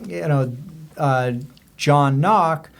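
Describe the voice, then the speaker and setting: A man's voice: on the high side, very slow, vocal fry, clear, resonant tone. American man telling rambling anecdotes. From US border region. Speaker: author guest on a podcast. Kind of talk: casual anecdotal storytelling.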